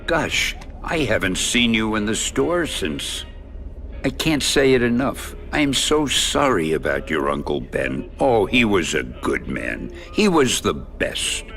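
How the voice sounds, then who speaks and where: A cheerful older comic shop owner with an enthusiastic, folksy manner. His voice is low and gravelly but lively, with faint game music behind. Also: slow, full character voice. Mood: friendly. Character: friendly older male comic store owner. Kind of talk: video game cutscene acting.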